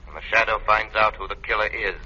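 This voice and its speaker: An actor playing a mysterious invisible crime fighter in an old radio drama, speaking in a measured, ominous, formal way through a filtered voice. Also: male voice, on the low side, steady tone, pushed and bright. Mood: ominous, assured. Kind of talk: 1930s radio drama acting. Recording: muffled, harsh old radio recording.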